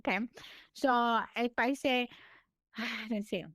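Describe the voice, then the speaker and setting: A woman from California with a naturally high-pitched voice and a slight lisp, talking in a casual interview, her words effortful and a little slurred, with frequent laughs. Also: slow pace, dry recording, clean studio sound. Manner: animated, laughs often, pushes extra hard on words. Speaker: podcast guest.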